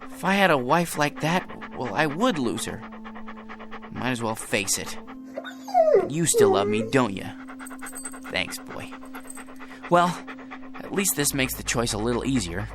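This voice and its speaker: An actor playing a timid, plaintive husband of a movie star in a radio drama. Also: male voice, medium pitch, huge pitch swings, measured pace, long pauses, over loud music. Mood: wistful. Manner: timid, plaintive, self-pitying. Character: meek, lovesick husband of a star.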